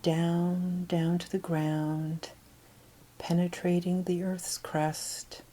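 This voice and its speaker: Female meditation guide: lowish, relaxed tone, gentle and breathy, extremely unhurried instruction. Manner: slow, gentle, unhurried counting and pauses.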